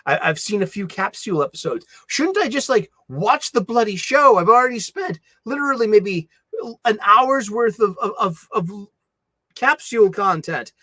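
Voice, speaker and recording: A middle-aged man with a thick Boston accent hosts a casual livestream chat. His voice sits a little high, and he rambles and mumbles, restarting his sentences. The sound is dry, with no room echo. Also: very animated, moderate pace, bright, forceful tone. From Boston, USA. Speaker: livestream host.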